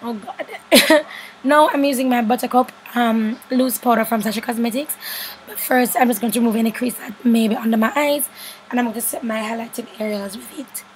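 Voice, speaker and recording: Young woman w/ a hoarse stuffy voice, rambly makeup voiceover, a bit high, faint room hum behind. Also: fairly even intonation, fairly quick, low-quality recording. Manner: chatty, rambling, self-correcting. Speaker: beauty vlogger. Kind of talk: casual makeup tutorial voiceover. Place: home bedroom studio.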